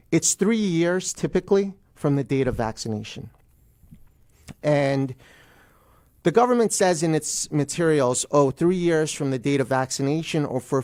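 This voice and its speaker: A man's voice: a little high, a little mellow. A middle-aged man speaking as a guest attorney in a radio interview, explaining procedure in an emphatic way.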